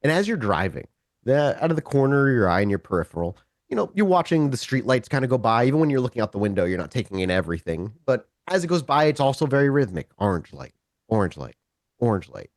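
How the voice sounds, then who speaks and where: A man narrating a tabletop roleplaying game as storyteller, in a descriptive, deliberate manner. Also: mid-range voice, big pitch swings, no room sound, studio quality.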